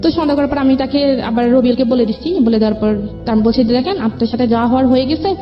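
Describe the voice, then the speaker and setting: Bangladeshi female guest, highish voice, recounting personal story; dull lo-fi audio over music. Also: fast talker. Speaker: guest telling own life story. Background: background music.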